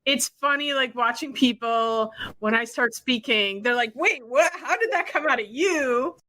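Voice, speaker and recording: A woman from Wisconsin co-hosting a casual podcast, her voice bright and a little high. She tells stories in a quick, animated way, acting out other people's lines as she goes. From Wisconsin, USA. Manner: animated, quick storytelling with acted-out quotes. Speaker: podcast co-host.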